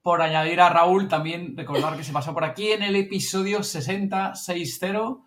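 A man's voice: sits high, fairly even intonation, moderate pace, fairly bright tone. A man with an accent from Spain hosts a casual podcast chat, prompting guests with questions. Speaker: podcast host.

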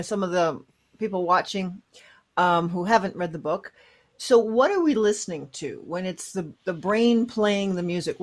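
A woman co-hosting a talk show, asking the guest measured questions. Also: on the low side. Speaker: co-host. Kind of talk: interview questions.

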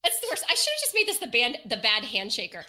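A woman in casual chat, animated and often laughing, with playful mock indignation. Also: on the high side, fast.

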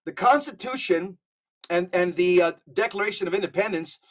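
A man's voice: sits high, moderate pace, fairly bright tone. A man talks as a podcast guest with fervent emphasis. He pushes his points hard and often restarts a phrase before pressing on. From United States. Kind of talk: conversational podcast talk.

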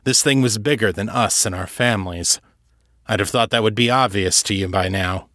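Gruff disembodied ex-commander for an audiobook, played by a male narrator: folksy, cagey, wry, very dry close sound. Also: on the low side, moderate pace, a bit bright, no room sound, lightly acted. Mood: edgy.

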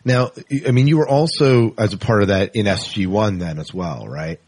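A man's voice: on the low side, moderate pace, a little mellow, breathy edge, dry recording. An American man hosting a casual podcast interview in an informal, rambling way.

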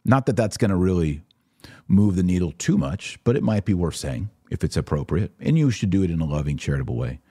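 A man's voice: low-pitched, expressive, brisk, warm tone, breathy edge, no room sound, studio quality. A man hosting a podcast, giving casual religious commentary and answering listeners in a conversational, emphatic way. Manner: conversational, emphatic, acts out imagined exchanges.

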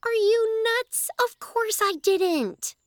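A woman playing a curious, imaginative young girl in a family audio drama, teasing and excitable. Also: very high-pitched, takes it slowly, no echo, clean studio sound.

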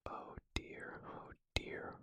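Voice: drawn-out words, bright, forceful tone, no echo. Martha, a fretful young girl, whispered by a narrator. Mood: distressed.

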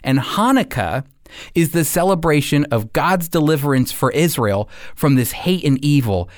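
Male radio Bible teacher, animated intonation, earnest delivery, emphatic deliberate phrasing, dry studio sound. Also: fairly high pitch, dry recording. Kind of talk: scripted radio sermon and narration.

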